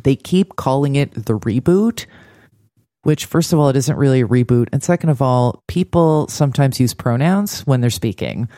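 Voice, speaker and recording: Man, relaxed dark timbre, dry sound, casual recap co-host, critical, digressive. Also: medium pitch, moderate pace, no echo. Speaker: podcast co-host.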